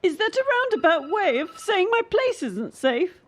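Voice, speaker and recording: An actress playing a determined, defiant young gymnast in a radio drama, earnest and insistent. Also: female voice, very high voice, big pitch swings, moderate pace, slight background noise. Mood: guarded.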